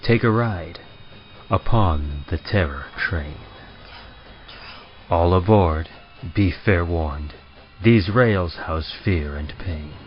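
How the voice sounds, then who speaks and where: A man's voice: sits low, takes it slowly, pauses often. A man playing an ominous train conductor and announcer in old-time radio horror style, solemn and theatrical. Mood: foreboding. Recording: muffled sound with music.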